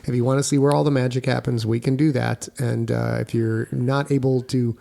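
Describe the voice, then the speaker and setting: A man's voice: mid-range voice, flat delivery, brisk, gravelly, warm tone. A man hosting a casual podcast, bantering informally in a wry, joking way. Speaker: author and podcast host.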